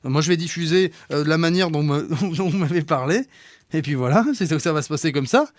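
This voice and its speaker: A man's voice: fairly high pitch, a little fast, dry recording, close to an everyday voice. A man playing a pushy, easily offended regional radio journalist on a prank call, hesitant and rambling but insistent. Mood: pushy, needling. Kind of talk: prank phone call in conversation.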